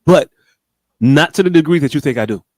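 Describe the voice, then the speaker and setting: A man's voice: fairly high pitch, lively intonation, a little fast, darkish tone, dry recording, studio quality. A man chatting casually as a podcast host, with slangy, profane, joking banter and a slight stutter. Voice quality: slight speech impediment with some stutter. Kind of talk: casual chat.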